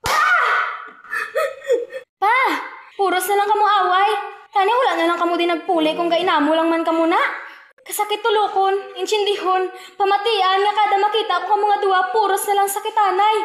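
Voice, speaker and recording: A woman's voice: very high-pitched, fairly even intonation, brisk. A woman playing a distressed young daughter in an amateur radio drama, emotional and pleading. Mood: hurt, pleading. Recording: roomy echo, music behind.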